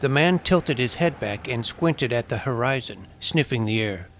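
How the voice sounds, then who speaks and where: A man's voice: medium pitch, lively intonation, moderate pace, a bit bright, slight background noise. An actor playing a wry twelve-year-old boy detective who narrates his own adventure in an audio drama. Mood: suspenseful. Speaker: narrator and lead. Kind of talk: scripted first-person story narration. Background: clear music.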